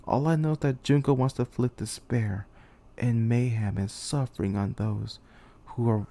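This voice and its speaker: A man playing a betrayed, despairing young military commander in a theatrical, halting anime-game monologue. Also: mid-range voice, fairly even intonation, dark tone, natural voice. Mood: bitter resignation. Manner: theatrical, halting, anguished delivery. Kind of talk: dramatic monologue, anime-game fan voice reel.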